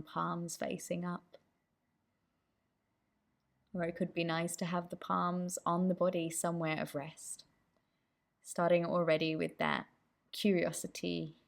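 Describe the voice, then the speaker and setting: A woman leading a guided meditation as the instructor, with gentle, inviting instructions. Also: on the low side, vocal fry.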